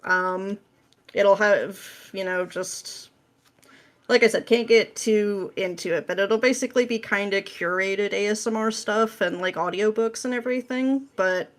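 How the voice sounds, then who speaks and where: A woman's voice: mid-range voice, unhurried. A woman speaking as an interview guest in an informal, wry, conversational way. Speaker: podcast guest, script writer. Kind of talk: casual interview chat.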